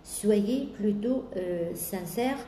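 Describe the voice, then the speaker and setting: A woman business coach giving a sales coaching talk, pausing often on drawn-out fillers and listing points in repetition. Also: medium pitch, lively intonation, warm tone. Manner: hesitant, many drawn-out "euh" fillers, repetitive lists. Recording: echoey room with faint background noise.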